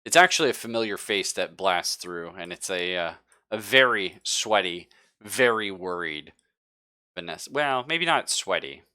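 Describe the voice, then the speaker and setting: A man's voice: low voice, expressive, moderate pace, pushed and bright, clear, resonant tone. A man narrating a tabletop roleplaying game as game master, casual and joking as he improvises.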